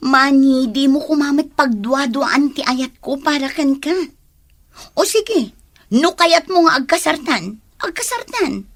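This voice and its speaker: A woman's voice: high-pitched, lively intonation, measured pace. A woman with an Ilocano Filipino accent acting a hurt, confrontational fiancée in a radio drama. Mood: hurt, pleading.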